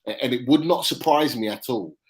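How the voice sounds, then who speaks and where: A man's voice: medium pitch, fairly quick. A man co-hosting a fan forum, talking confidently and sharing strong opinions. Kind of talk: casual fan chat.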